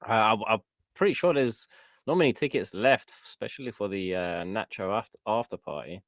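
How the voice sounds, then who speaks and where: A man's voice: on the low side, expressive, brisk, no echo, clean studio sound. A man co-hosting a podcast in casual chat, enthusiastic but hesitant, with many fillers. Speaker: co-host.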